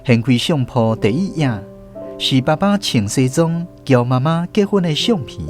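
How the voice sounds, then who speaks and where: A man with a Taiwanese accent narrating a scripted children's radio story in an expressive storytelling manner. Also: mid-range voice, moderate pace, soft background music.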